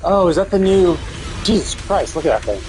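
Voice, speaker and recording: Animated, higher-pitched male game streamer. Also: brisk, rough recording. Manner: sweary, rambling reactions. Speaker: livestreamer. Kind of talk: casual live gameplay commentary. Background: game music.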